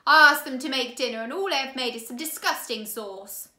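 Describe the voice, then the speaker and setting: A woman's voice: brisk, bright, forceful tone, reverberant. A woman voicing a scratchy, menacing villain count. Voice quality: scratchy, booming.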